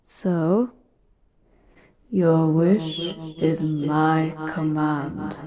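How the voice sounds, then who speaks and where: A woman's voice: low voice, little pitch movement, very slow, a crowd in the background. A woman voicing an ancient, all-powerful genie who talks casually and teasingly to a master in a roleplay monologue. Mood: grand, teasing. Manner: casual, teasing, conversational asides. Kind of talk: in-character roleplay monologue to the listener.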